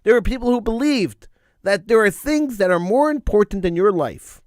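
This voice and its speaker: A middle-aged man with a fairly high voice delivers a fervent talk radio monologue. He hammers his points by repeating phrases, and a slight cold wears on his voice. The studio microphone sound is dry and close. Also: lively intonation, normal speed, a little mellow, dry recording. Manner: emphatic, repeats phrases for stress. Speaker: radio talk show host.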